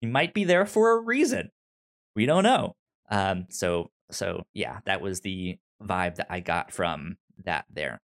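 Slow-talking man with a pronounced stutter. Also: low voice, big pitch swings, gravelly. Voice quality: pronounced stutter with repeated initial sounds. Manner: halting, informal, hesitant phrasing. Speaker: podcast host. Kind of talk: casual film pitches on a talk podcast.

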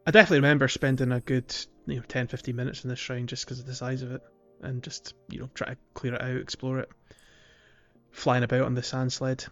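A man's voice: medium pitch, level tone, fast talker, lo-fi sound, soft background music. A man hosting a casual video game podcast, explaining things in a hesitant, rambling way. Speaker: podcast host.

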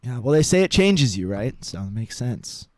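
A man hosting a football podcast, talking in a measured, analytical way. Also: medium pitch, big pitch swings, darkish tone, dry recording. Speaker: podcast host. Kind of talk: casual sports chat.

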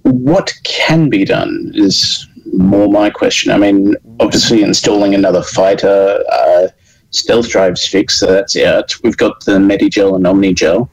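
A man's voice: lowish voice, measured pace, creaky, slight background noise, poor audio. A male player at a tabletop game, dry and joking. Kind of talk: casual chat.

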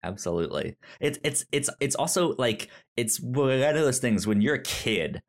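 A man co-hosting a casual film discussion, speaking haltingly and repeating words as he searches for his phrasing. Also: medium pitch, lively intonation. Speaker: podcast co-host.

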